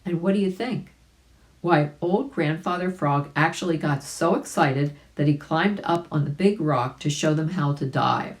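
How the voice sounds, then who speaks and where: A woman reading a children's story aloud in an expressive way, with chatty asides to young listeners. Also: low voice, normal speed, rough recording. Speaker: volunteer storybook reader.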